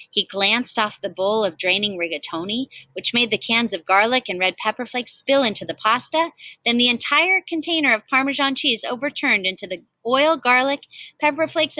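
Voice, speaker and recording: A woman teacher reading a picture book aloud to children in an expressive storytelling style. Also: fairly high pitch, no echo.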